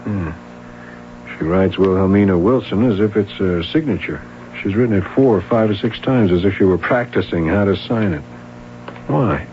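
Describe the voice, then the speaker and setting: An actor playing a skeptical, low-key police sergeant in a radio mystery drama, questioning in a measured, hesitant way. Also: male voice, lightly acted. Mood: probing. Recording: dull, hissy old radio recording.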